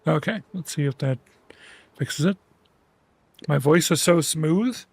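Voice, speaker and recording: Gravelly man chatting on a livestream. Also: on the high side, takes it slowly, dry recording. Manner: rambling, many restarts and fillers; relaxed, soft-spoken. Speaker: streamer. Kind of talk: casual livestream chat. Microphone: headset.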